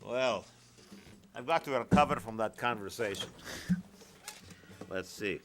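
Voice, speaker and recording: A man hosting a comedy radio show, teasing his guest in a deadpan manner and stammering on restarts. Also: medium pitch, unhurried.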